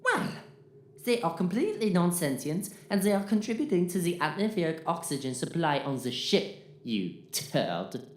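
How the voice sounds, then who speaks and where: A woman's voice: fairly low pitch, sing-song, moderate pace, darkish tone. A woman playing an earnest robot science officer in a science fiction audio drama, speaking formally and literally. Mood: matter-of-fact. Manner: formal, literal, matter-of-fact. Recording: roomy echo, faint noise.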